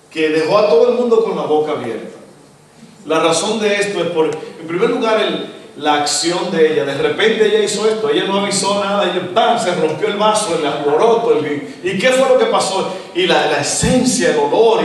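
A middle-aged Dominican man with a Dominican Spanish accent, preaching a sermon as guest pastor, animated and asking the congregation questions. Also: on the high side, recorded from a distance, a little hiss or hum. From Dominican Republic. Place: church stage, indoors, large hall. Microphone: handheld mic. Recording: echoey hall, recorded from afar.

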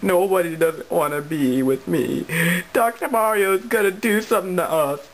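A performer voicing a timid, dejected cartoon video game character in a halting, downcast way. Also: medium pitch, natural voice. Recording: slightly noisy homemade recording.

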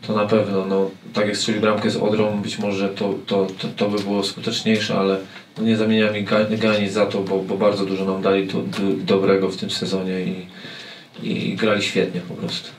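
A football coach in his forties answers reporters in a halting way, stumbling over and repeating his words. His voice sits a little low. It comes from a table mic a short way off, in an echoey press room with faint noise. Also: monotone, recorded from a distance. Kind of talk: post-match press conference. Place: press room with sponsor wall. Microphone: table mic on stand.

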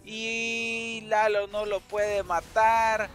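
A man from El Salvador with a Salvadoran Spanish accent, casting a live game tournament in a joking, excitable, slangy way. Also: sits high, drawn-out words, soft background music. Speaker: esports caster.

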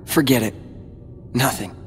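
A man's voice: medium pitch, measured pace, big-room sound, a little hiss or hum, close to an everyday voice. An actor playing a brooding, determined teenage boy in a video game, with a cool, guarded, moody delivery. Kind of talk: video game cutscene acting.

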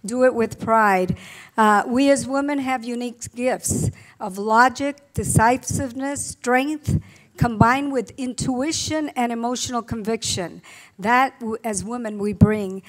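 A woman's voice: fairly high pitch, unhurried, poor audio. A middle-aged woman from Rico, speaking earnestly at a panel event on a table microphone, with many fillers and restarts. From Puerto Rico. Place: conference room with blue drapes.

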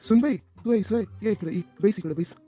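A man's voice: high-pitched, quick pace, mellow, husky, faint music behind. A man voicing a henpecked middle-aged father-in-law in a comedy cartoon, talking with mocking sarcasm. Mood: sly.

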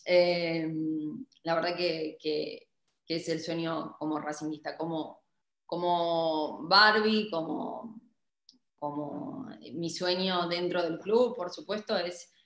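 A woman's voice: lowish voice, steady tone, slow pace, fairly bright tone, echoey room. A young woman with an Argentine accent, speaking on a video call as a guest, hesitant with many fillers and restarts. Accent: Argentine Spanish. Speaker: club director, guest. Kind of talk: casual interview on a video call. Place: home office, indoors. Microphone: laptop webcam mic on a video call.

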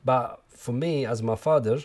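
A man in his forties with an Algerian accent, speaking in a documentary interview at home with many pauses and restarts. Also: mid-range voice, darkish tone. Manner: halting, many restarts and fillers. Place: living room at home. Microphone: lapel.

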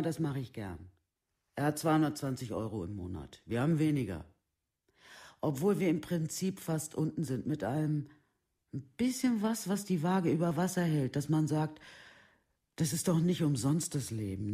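Radio drama: weary working-class mother played by a man, mellow voice, plain-spoken, resigned, devoted to her sons. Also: mid-range voice, natural voice. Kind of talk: documentary radio play monologue.

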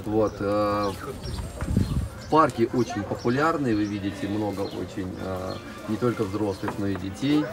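A middle-aged man giving a casual guided tour in short, unhurried phrases with frequent pauses. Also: fairly low pitch. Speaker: zoo director giving an interview and tour. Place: open cab of a small vehicle driving through an outdoor park.

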